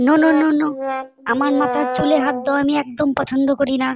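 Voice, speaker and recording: A woman's voice: high-pitched, steady tone, brisk, creaky, highs cut off, music in the background. A woman voicing a grumpy, evasive, lazy schoolboy in a comedy cartoon, with a whiny, grumbling manner. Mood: annoyed.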